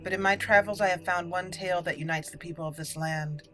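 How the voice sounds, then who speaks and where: A woman hosting a roleplay game playthrough, speaking in a deliberate storyteller manner. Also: lowish voice, steady tone, fairly bright tone, a little hiss or hum, rough recording. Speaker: gaming channel host. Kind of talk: roleplay game commentary and dramatic lore reading. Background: faint game music.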